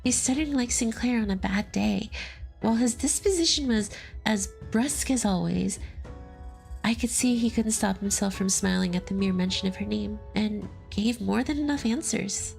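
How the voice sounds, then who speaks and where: A woman's voice: mid-range voice, normal speed, creaky, darkish tone, soft background music. A woman reading a game's story aloud as a streamer, breaking off to joke and laugh between passages. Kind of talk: dramatic reading with commentary.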